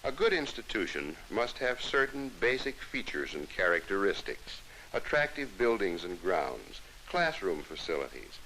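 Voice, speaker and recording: An old, faintly hissy early-1960s film soundtrack carries an American man's narration. His voice is crisp and forward, with lively rises and falls. He reads formally and instructively, like the scripted educational films of that time. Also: low voice, moderate pace, few pauses, poor audio.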